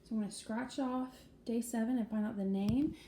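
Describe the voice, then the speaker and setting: A woman's voice: fairly high pitch, steady tone, normal speed, mellow. A woman vlogger chatting casually and rambling with many ums and restarts, sometimes dropping to a whisper. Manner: drops to a whisper at times. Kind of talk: casual vlog chat. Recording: echoey room with faint noise.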